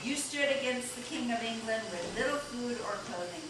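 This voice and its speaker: A woman's voice: fairly high pitch, expressive, normal speed, lightly acted. Woman as solemn male president, echoey, distant. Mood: admiring. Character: solemn, reflective Abraham Lincoln in heaven, adult male president. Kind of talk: readers' theater stage reading. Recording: echoey room, distant mic, noisy.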